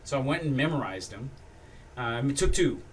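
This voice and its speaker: Male actor guest, animated storyteller, sits a little back from the mic with faint room noise. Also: mid-range voice, normal speed, far from the mic. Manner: animated storyteller, laughs often. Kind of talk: casual chat.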